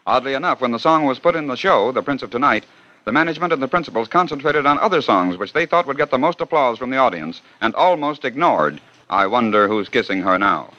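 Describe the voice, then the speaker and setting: Male 1940s radio narrator, quick crisp delivery, dull vintage sound. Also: mid-range voice, animated, sharp tone. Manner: brisk announcer delivery. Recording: dull old radio recording.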